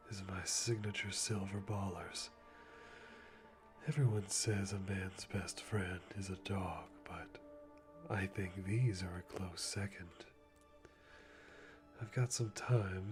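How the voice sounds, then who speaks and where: Guy doing a calm methodical hitman thinking out loud, lowish soft voice, dry humor, asmr roleplay, faint music behind. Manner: deliberate, dryly amused, musing to himself. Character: calm, methodical male hitman planning a kill.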